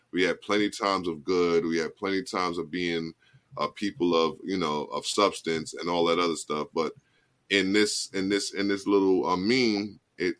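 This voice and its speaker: A man's voice: sits low, a bit bright. A man in his forties hosting a casual chat show, talking loosely and often checking in with "you know what I mean". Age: 40s. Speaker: podcast host.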